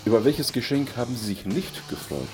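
A man's voice: medium pitch, very animated, a little fast, a little mellow, faint music behind. A middle-aged man hosting a radio interview, asking questions formally and politely, with hesitant fillers. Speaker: radio host.

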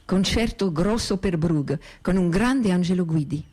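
An actress playing a reflective woman storyteller in radio theatre, speaking in a theatrical, deliberate manner. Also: fairly low pitch, a little mellow.

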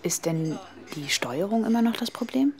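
An actress playing an anxious young woman in a radio drama, with a breathy voice that often drops to a whisper. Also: medium pitch, expressive, moderate pace, darkish tone, lightly acted. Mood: hesitant, probing. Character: anxious young woman worried about sick sister. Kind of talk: radio drama acting with inner monologue.

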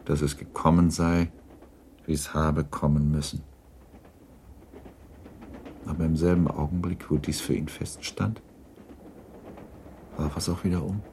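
Man, radio play narrator, scripted literary reading, very low pitch, dark warm tone, measured, faint noise behind. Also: fairly even intonation.

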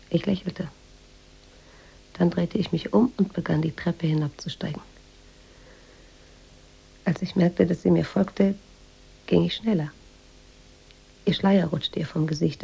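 An actress playing a reflective American woman writer in a radio drama, telling her memories in a measured, introspective way. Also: fairly low pitch, vocal fry, warm tone, slightly rough, heavily acted, rough recording. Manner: measured, introspective, literary storytelling. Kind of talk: radio drama narration and dialogue.

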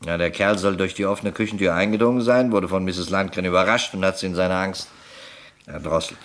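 An actor playing a shrewd, sceptical investigator in a radio crime drama, dry and probing in manner. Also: male voice, sits low, steady tone, fairly quick, fairly bright tone.

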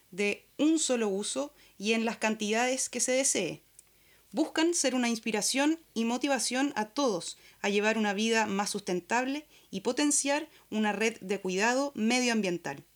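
A woman with a Chilean accent, co-hosting a radio show with casual talk and scripted announcements. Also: on the high side.

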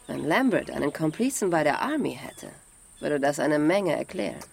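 A deep female voice plays a sardonic, assertive adventurer in a radio play. She is dry and decisive in her delivery. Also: sing-song, moderate pace, creaky, a little mellow, breathy edge, full character voice. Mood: dry, sceptical. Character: assertive, sardonic woman on a treasure-hunting team. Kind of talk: radio drama dialogue.

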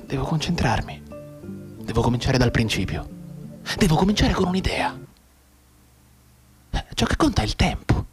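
An actor playing a maimed, despairing young soldier in a radio drama monologue, brooding and halting, moving between hushed and agitated delivery. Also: male voice, mid-range voice, sing-song, over music, lightly acted. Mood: urgent, brooding.

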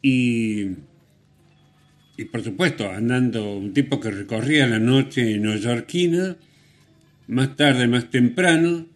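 A man hosting radio, telling music stories casually in a halting, rambling, conversational way. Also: mid-range voice. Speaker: radio host.

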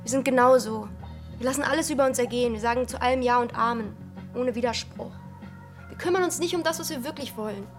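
A woman's voice: fairly high pitch, fast, soft background music, lightly acted. A young actress playing a restless, brooding village girl in a radio drama, speaking in intense, fragmented phrases. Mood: bitter, desperate.

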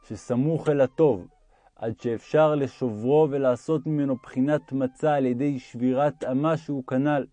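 Mellow-voiced man reading religious text, roomy echo. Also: mid-range voice.

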